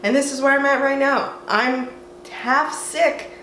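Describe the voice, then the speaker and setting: A woman's voice: high-pitched, fairly even intonation, a little slow, bright, forceful tone. Sniffly young woman chatting at home. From southwestern United States. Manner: chatty, rambling, self-mocking. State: congested from a cold. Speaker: vlogger. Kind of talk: casual chat. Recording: roomy echo, faint room noise.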